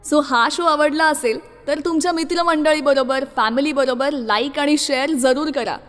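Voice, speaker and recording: A woman's voice: sits high, moderate pace, fairly bright tone. A woman radio jockey speaks. She presents to her listeners as a show host. Her voice carries a long reverb, and music plays behind her.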